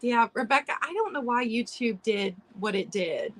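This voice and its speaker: A slightly high-voiced woman hosts a live vintage sale, chatting casually and restarting her sentences. Her voice is a little hoarse, and she clears her throat now and then. She greets viewers by name between item descriptions. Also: expressive, normal speed. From United States. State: losing her voice from allergies. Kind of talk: casual live-stream selling chat.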